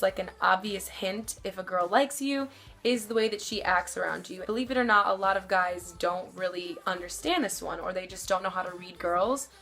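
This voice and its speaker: A young woman with a somewhat deep voice, giving casual advice to her camera and rambling with lots of fillers. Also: a bit bright. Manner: chatty, rambling, many fillers. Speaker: vlogger.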